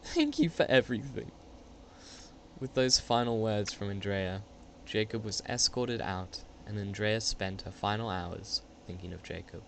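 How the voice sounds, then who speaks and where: A man's voice: fairly low pitch, fairly even intonation, normal speed, hardly any pauses, slight background noise. A young man reading scripted dramatic narration for a student radio play. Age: young adult.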